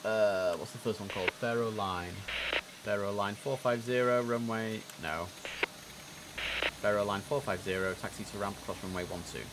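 A young man with a headset, streaming an air traffic control game, chatting casually and reading out radio calls in a relaxed way that repeats words for emphasis. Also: lowish voice, moderate pace.

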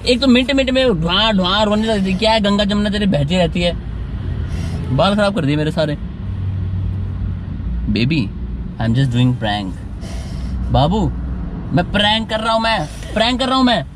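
Young male vlogger, high voice, coaxing and playful, recorded on a dash camera with street noise. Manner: pleading and teasing, with bursts of laughter. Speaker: prank vlogger. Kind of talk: casual vlog chat. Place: inside a parked car, outdoors on a street. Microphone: camera mounted on the dashboard. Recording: noisy car interior with street noise.

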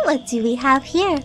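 A woman's voice: high voice, slight background noise, pitched above the performer's own voice. A woman voicing a gleeful female spider villain with a taunting, theatrical manner, as in a game or cartoon.

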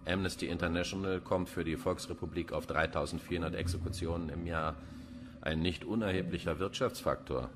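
An actor in a radio crime drama playing a macabre forensic pathologist, dry, pedantic and sardonic. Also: male voice, low-pitched, fairly even intonation, brisk, background noise, lightly acted. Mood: dryly macabre. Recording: music behind the voice.

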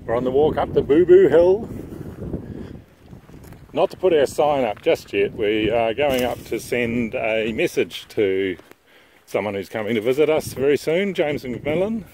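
A man in the middle of his range, narrating a sailing trip with a lively, up-and-down delivery. He talks in a wry, joking way. The outdoor camera mic picks up a clear wash of wind and background noise. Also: medium pitch, a little slow, poor audio. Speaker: sailing vlog host. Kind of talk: casual narration and chat.

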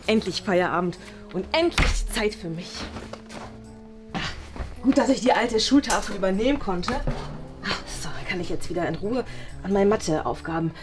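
Actress as geeky female maths teacher, young, pleased with herself, mid-pitched, muttering, comic sketch with music. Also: animated, normal speed, long pauses, natural voice. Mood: absorbed, proud. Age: young adult. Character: nerdy, self-satisfied female maths-loving teacher. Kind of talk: comedy sketch acting.

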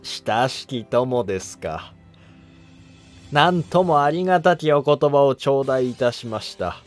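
An actor playing a humble, cautious monk who advises an emperor in formal, deferential court speech in a historical drama. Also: male voice, mid-range voice, expressive, measured pace, soft background music, close to an everyday voice. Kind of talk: Japanese historical drama dialogue.

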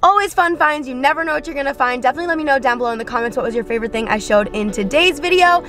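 A woman's voice: high-pitched, very fast, a bit bright. A young woman from Florida, a home decor vlogger, chatting casually and gushing about her finds while filming in stores and later from a parked car. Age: young adult. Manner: bubbly, gushing, filler-heavy. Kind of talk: casual shopping vlog chat. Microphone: handheld camera.

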